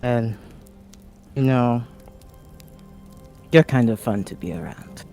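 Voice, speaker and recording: Mellow, casual tabletop player. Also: female voice, extremely low pitch, animated, takes it slowly, creaky, faint music behind. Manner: casual, sweary. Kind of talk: casual in-character role-play.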